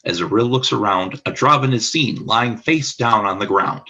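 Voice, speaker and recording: A man's voice: mid-range voice, normal speed, pushed and bright, lo-fi sound. A man reading scripted narration for a radio play, describing the action in the present tense.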